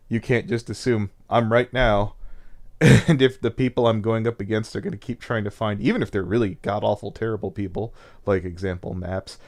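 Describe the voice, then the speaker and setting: A man's voice: lowish voice, a little mellow. A man streaming over a voice call, rambling, swearing and laughing often in casual chat. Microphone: Discord voice call. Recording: voice chat audio.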